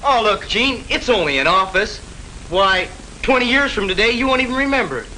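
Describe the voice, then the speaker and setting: A breezy, good-natured high school boy in a 1950s educational film. His voice is high for a male and very sharp, with casual, chatty delivery over faint soundtrack noise. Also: animated, brisk, rough recording. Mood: friendly concern. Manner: casual, chatty, old-fashioned slang.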